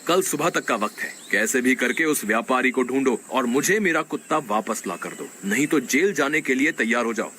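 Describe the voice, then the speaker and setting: A man's voice: medium pitch, expressive, normal speed, few pauses, pushed and bright, slight background noise. A man playing a stern, emphatic village farmer in a cartoon moral story. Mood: threatening. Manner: threatening, emphatic.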